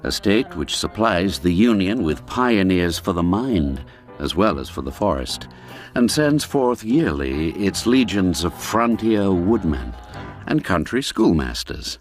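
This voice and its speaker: A man narrating a classic folk tale as scripted literary storytelling, in a measured, formal manner. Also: low-pitched, big pitch swings, soft background music.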